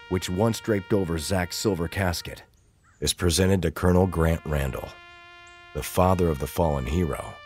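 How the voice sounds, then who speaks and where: Deep-voiced male drama narrator. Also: darkish tone, slight background noise.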